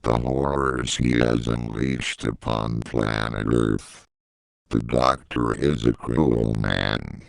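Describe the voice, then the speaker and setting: A gravelly, ominous unseen watcher speaks from far off in an analog horror video. The voice sits extremely deep and drags along slowly and flatly, with heavy rasp in every word. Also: male voice, dry recording, exaggerated voice.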